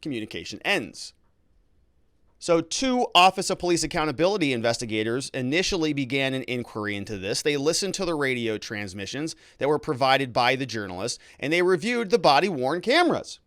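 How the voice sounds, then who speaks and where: Animated male legal commentator, lively pitch swings. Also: brisk, dry recording, studio quality. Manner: animated, emphatic delivery with informal asides. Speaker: legal commentator and show host. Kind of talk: news commentary, reading a report aloud and paraphrasing it.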